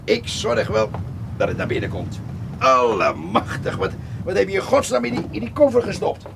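A man's voice: high-pitched, wildly swooping pitch, normal speed, gravelly, noisy background, heavily acted. An actor playing a chatty, nosy old village man who rambles and grumbles in a folksy way in a radio drama. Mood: eager, bustling. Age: older. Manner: rambling, muttering, folksy talk.

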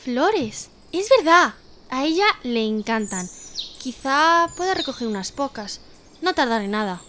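Woman as a high-voiced innocent little girl. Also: very animated, measured pace, fairly bright tone, a little hiss or hum, heavily acted. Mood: trusting. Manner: earnest, trusting. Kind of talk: audio fairy-tale drama acting.

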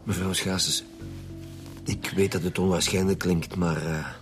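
An actor playing a blunt, commanding chief homicide detective with a Flemish accent in a radio drama. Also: male voice, low-pitched, fairly even intonation, unhurried, faint music behind. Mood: probing, businesslike.

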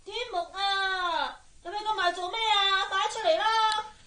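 A haughty, high-voiced spoiled rich woman. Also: fairly even intonation, normal speed, bright, forceful tone. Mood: impatient. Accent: Hong Kong. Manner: sneering, imperious, coquettish. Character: haughty, spiteful young rich woman, jealous girlfriend. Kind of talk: Cantonese radio drama acting.

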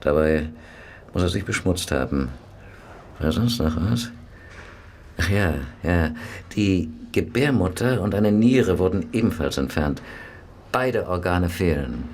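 Low-voiced, dry pathologist. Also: male voice, animated, darkish tone, slight background noise. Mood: clinical. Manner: dry, clinical, unhurried. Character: matter-of-fact, grumbling male police pathologist. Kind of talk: German radio play acting.

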